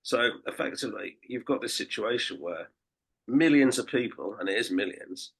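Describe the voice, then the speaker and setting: A man co-hosting a podcast in casual chat, croaky from a late night, rambling with restarts and fillers. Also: on the low side, lively intonation, moderate pace, fairly bright tone, poor audio. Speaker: podcast co-host and political campaigner.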